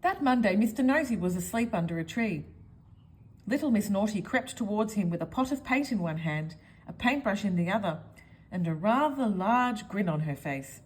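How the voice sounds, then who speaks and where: Very animated woman storyteller. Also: medium pitch, moderate pace, rough recording. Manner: expressive, addresses young listeners with questions. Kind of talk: children's picture book read-aloud.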